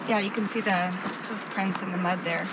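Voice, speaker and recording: A woman trail guide casually explaining plants and trails on a forest path, relaxed and full of fillers. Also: medium pitch, normal speed, heavy background noise.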